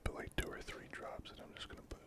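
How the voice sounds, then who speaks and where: A hobby host speaking in a whisper, unhurried and thinking aloud in an ASMR painting commentary.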